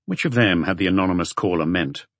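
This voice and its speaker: A man's voice: deep voice, fast, fairly bright tone, no echo. A man reading scripted crime fiction as an audiobook narrator.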